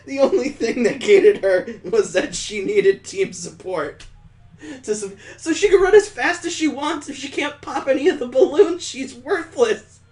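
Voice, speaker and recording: A man reading a visual novel aloud as a streamer, breaking off for sarcastic, amused asides. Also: a little high. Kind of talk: reading game text aloud with joking asides.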